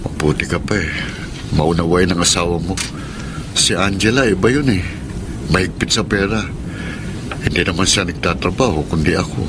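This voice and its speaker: A fairly low male voice of an aggrieved, apologetic husband in a radio drama acted by a man. He sounds pleading and defensive, and there is clear noise behind him. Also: normal speed, long pauses, close to an everyday voice. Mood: glum, aggrieved.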